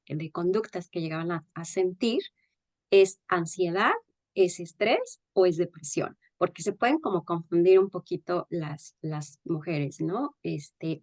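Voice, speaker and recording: A woman's voice: fairly low pitch, a little mellow. A woman co-hosting a podcast in casual discussion, searching for words and often ending phrases with a tag question.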